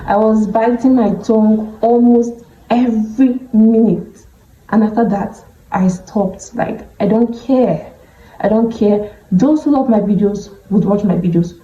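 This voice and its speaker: A woman's voice: fairly high pitch, dark tone. A woman with a Nigerian accent vlogging casually to a phone camera, speaking candidly and stretching words for emphasis. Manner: candid, drawn-out emphatic phrasing. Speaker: beauty vlogger. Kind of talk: casual vlog talking to camera. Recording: dull, echoey room recording.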